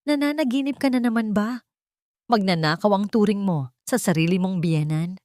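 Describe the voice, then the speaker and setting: A woman's voice: medium pitch, moderate pace, no echo, voice pushed up, lightly acted. A female narrator plays a cruel, bullying husband in a drama. He berates with anger and contempt, in a dry, close recording. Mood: furious, scornful. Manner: angry, scornful shouting.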